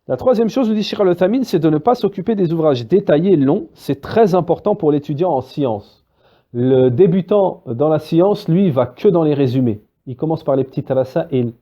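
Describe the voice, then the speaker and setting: Lively French-speaking religious teacher, lo-fi. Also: male voice, a little high, moderate pace. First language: Arabic-Islamic terms mixed into French. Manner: lively, acts out dialogues, many fillers. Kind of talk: spoken religious lesson. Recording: dull lo-fi recording.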